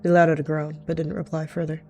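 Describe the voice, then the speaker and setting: Mellow woman narrating a roleplay. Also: low voice, little pitch movement, fast, faint music behind. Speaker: roleplayer narrating their characters. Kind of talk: third-person roleplay narration.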